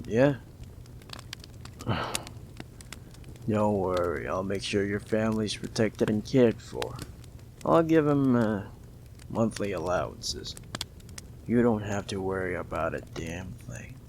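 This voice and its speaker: A man voicing a possessive, menacing mob boss in an audio roleplay, gravelly and slow, coldly affectionate and often whispering. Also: low voice, a little hiss or hum, heavily acted. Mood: coaxing.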